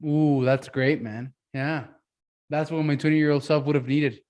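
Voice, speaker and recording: A man's voice: a little high, fairly even intonation, measured pace, darkish tone. A man of twenty-one hosting an interview, casual and affirming, often agreeing with "yeah, man". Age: 20s. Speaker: podcast host.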